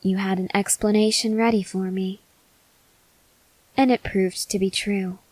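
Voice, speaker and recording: Female vampire lover for ASMR roleplay, played by a woman: possessive, softly threatening, unhurried delivery with whispered touches, dry close-mic sound. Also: medium pitch, flat delivery, dry recording, natural voice, rough recording. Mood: probing, affectionate. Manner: slow, measured, intimate, with breathy whispered moments. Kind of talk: ASMR roleplay acting, addressed to the listener.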